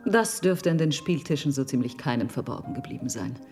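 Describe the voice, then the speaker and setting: An actress playing a cool, adventurous aristocratic countess, poised and sly, in a German radio drama. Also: female voice, rumbling low, lively intonation, fairly quick, music in the background. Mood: cool, amused.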